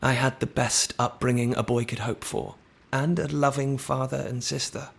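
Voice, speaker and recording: An actor playing an earnest, spirited young runaway prince turned guardian, in an expressive video game performance. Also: male voice, medium pitch, normal speed, vocal fry, slightly rough. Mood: solemn, heartfelt. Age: young adult. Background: orchestral music.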